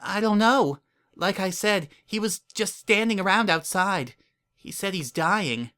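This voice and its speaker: A man plays a caring female pony mayor in a dramatic story reading. The voice is lifted well up high, worried and urgent, pressing to help. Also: measured pace, bright, forceful tone, clean tone.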